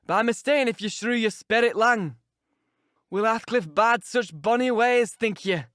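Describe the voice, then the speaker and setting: A man's voice: extremely high pitch, a little slow, a bit bright, no echo, clean studio sound, pitched above the performer's own voice. A man voicing a grumbling, pious old male servant with a broad Yorkshire dialect and a rustic drawl, scolding as he talks. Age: older.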